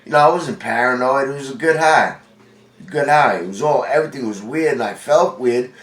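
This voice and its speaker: A man's voice: medium pitch, moderate pace, fairly bright tone. Congested man, far from the mic. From Long Island, New York. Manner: rambling, profane, streetwise. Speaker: vlogger. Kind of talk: casual storytelling monologue. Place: indoor room with a window. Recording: roomy, distant from mic.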